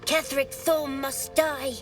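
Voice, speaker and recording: A solemn female nature spirit in a video game, ancient and portentous. She speaks slowly in a fairly high voice, set a little distant under music. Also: level tone.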